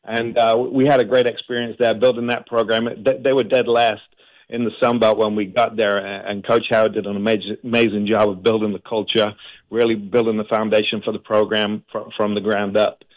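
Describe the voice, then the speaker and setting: Coach, flat even pitch, earnest guest on a radio call. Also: male voice, a little fast, rough recording. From England. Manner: grateful, earnest, flowing storytelling. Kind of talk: radio interview answers.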